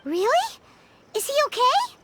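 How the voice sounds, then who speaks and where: A woman voicing a tiny, childlike, excitable fairy companion in a video game, with a squeaky voice. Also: measured pace, background noise, full character voice. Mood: surprised, worried. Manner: excitable, exclamatory.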